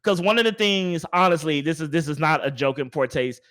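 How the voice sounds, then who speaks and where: Male podcast host, fairly high voice, animated and rambling, informal chat delivery. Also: brisk. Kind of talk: casual gaming podcast discussion.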